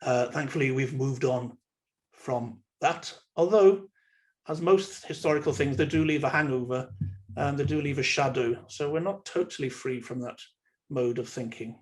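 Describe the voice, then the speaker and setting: A Welsh man whose first language is Welsh, giving an online university lecture in a measured, thoughtful way with frequent ums and uhs, recorded on a computer microphone. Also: medium pitch. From Wales.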